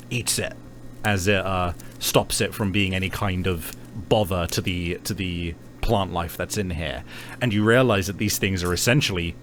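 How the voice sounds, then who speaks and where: A man with a fairly low voice acts as game master for a tabletop game. He describes scenes in a halting, conversational way, with faint noise behind him. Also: a bit bright. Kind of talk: narrating a tabletop roleplaying game.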